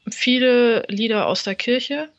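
A woman's voice: on the high side, slow, muffled recording. A woman who is a stand-up comedian, guest on a casual podcast, explaining her views thoughtfully and at length and laughing easily. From Germany.

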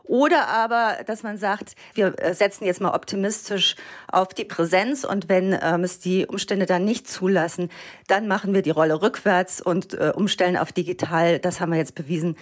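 Woman reporter in a podcast interview, explanatory and measured, with frequent hesitation fillers. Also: fairly low pitch, steady tone. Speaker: education journalist. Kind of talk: interview answers.

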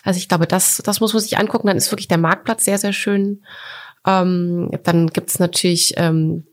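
Woman in her 40s, podcast guest, pauses a lot and goes um, slow, thoughtful. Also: on the low side, steady tone. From Germany, Coburg. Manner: thoughtful, hesitant, many fillers. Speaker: podcast guest, art collector. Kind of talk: relaxed conversational interview.

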